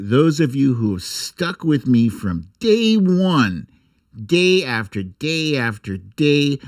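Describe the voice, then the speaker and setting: An American man hosting a casual livestream, chatting informally and jokily with some mild swearing. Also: medium pitch. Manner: informal, jokey, mildly profane. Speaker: host of an online livestream show. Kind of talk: casual livestream chat and commentary.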